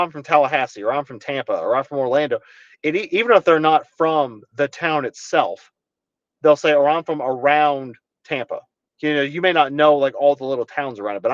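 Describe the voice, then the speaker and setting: A man's voice: on the high side, no echo, highs cut off. Earnest young man from Kentucky. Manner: earnest, rambling with many restarts. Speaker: podcast guest. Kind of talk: casual conversation.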